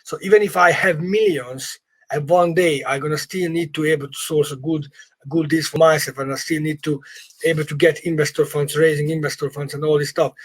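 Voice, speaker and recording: A man with a husky, fairly high voice speaks non-native English as a property coach in a live session. He is emphatic and encouraging, and often repeats his phrases for stress. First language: not English. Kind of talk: informal live training session.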